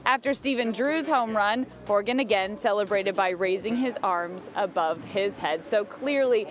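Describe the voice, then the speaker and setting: A woman's voice: mid-range voice, normal speed, crowd noise. An American woman working as a TV reporter, giving live morning news from outdoors with casual banter. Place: outdoors outside a city ballpark. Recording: live outdoor broadcast with background street noise.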